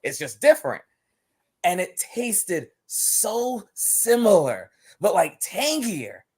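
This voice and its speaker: A man's voice: high-pitched, slow pace, slightly rough. A man from Barbados, a wrestler guest on a podcast, talking casually and telling stories with lots of laughter and repeated words. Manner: animated storytelling, laughing often, repeats words.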